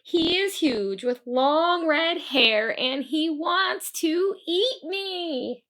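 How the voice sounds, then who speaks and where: Storybook boy, voiced by a woman: scared, urgent, high and very expressive. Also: very slow, heavily acted. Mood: earnest, fearful. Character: frightened young boy on the run.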